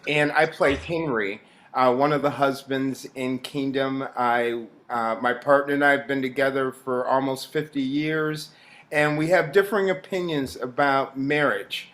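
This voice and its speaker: Slow-talking man, halting and thoughtful. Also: mid-range voice, fairly even intonation, a bit bright. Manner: halting, thoughtful, with frequent pauses. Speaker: actor being interviewed. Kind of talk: reflective interview talk.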